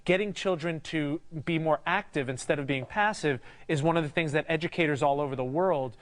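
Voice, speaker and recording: A man's voice: on the high side, brisk. A shopping channel host, a man, giving a casual, upbeat product presentation.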